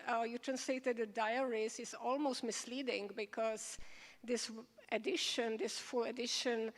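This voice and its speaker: Hesitant woman moderator in a hall. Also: a little high. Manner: hesitant, restarting her questions. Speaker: scholar moderating an onstage conversation. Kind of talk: onstage interview questions. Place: stage of a large hall before an audience. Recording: reverberant hall sound.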